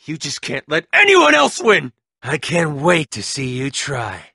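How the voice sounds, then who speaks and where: A man's voice: a little high, huge pitch swings, unhurried, gravelly, sharp tone, husky, no echo, clean studio sound, full character voice. An actor voicing an arrogant young male rival gang leader in a video game, sneering and taunting with mocking laughs. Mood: furious.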